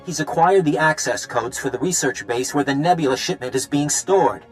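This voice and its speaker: A man's voice: a little high, normal speed, faint music behind, close to an everyday voice, poor audio. An actor playing an eager, upbeat and cocky male resistance fighter in a video game. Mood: excited briefing.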